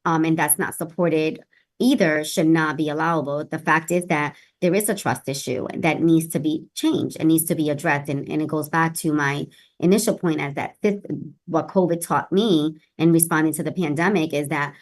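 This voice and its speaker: Lady official being interviewed, kinda low voice, earnest and passionate. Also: moderate pace. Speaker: city health director, interview guest.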